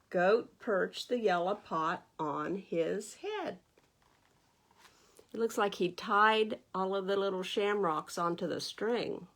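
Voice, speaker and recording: A woman hosting a children's storytime, reading a picture book aloud and chatting to young listeners with questions and explanations. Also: medium pitch. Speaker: library storytime host.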